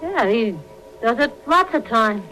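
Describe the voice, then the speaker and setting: Brassy American gangster's wife. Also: female voice, on the high side, animated, a little slow, bright, forceful tone, soft background music, low-quality recording. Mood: mocking. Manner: slangy, uneducated, sarcastic speech. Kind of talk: 1940s radio crime drama acting. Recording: vintage 1940s radio broadcast.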